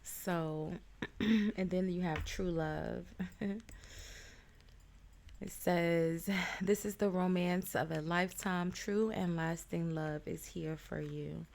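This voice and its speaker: A woman giving a casual tarot reading, stuffed up with a cold, talking in a chatty, halting way with many ums. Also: lowish voice, steady tone, gravelly, a little mellow. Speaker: tarot reader.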